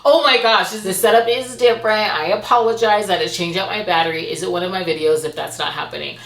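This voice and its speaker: Low-voiced expressive woman, roomy sound. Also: fast talker, creaky. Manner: expressive, gushing, emphatic storytelling. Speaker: book reviewer and host of a reading channel. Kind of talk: chatty monthly reading wrap-up to camera.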